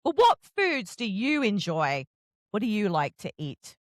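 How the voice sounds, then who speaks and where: A woman hosting a casual book interview, effusive and expressive as she chats. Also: mid-range voice, measured pace, no echo, studio quality. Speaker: interviewer, book show host. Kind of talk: casual enthusiastic interview chat.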